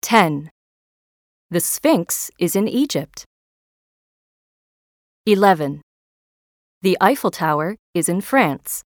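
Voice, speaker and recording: A woman with a slightly low voice reads short textbook sentences. She is slow and steady, with a dry, close recording. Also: no room sound. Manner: even, deliberate reading. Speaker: audio narrator.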